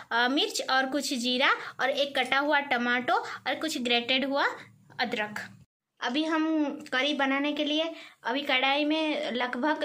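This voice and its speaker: High-voiced woman narrating home cooking. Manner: plain step-by-step instruction with hesitations. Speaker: cooking channel host. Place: home kitchen. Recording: frying and sizzling noise behind voice.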